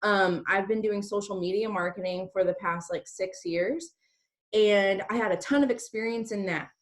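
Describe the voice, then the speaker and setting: A woman's voice: medium pitch, normal speed. A woman in her early thirties giving an informal motivational talk to an online group as a co-hosting team leader, chatty and peppering her sentences with "like". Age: early 30s. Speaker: direct-sales team leader co-hosting a training.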